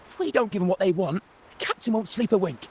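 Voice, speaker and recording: High, theatrical pirate henchman. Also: expressive, quick pace, darkish tone, a little hiss or hum. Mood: frantic, scheming. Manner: theatrical, exclamatory storytelling. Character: boastful, grovelling male pirate henchman telling sailor legends. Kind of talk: video game character acting.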